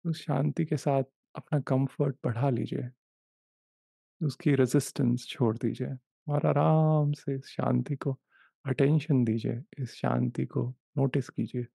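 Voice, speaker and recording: A man leading a guided meditation, giving gentle, unhurried instructions in short repeated phrases with long pauses. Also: medium pitch, steady tone, creaky, husky, dry recording. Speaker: meditation guide, life coach.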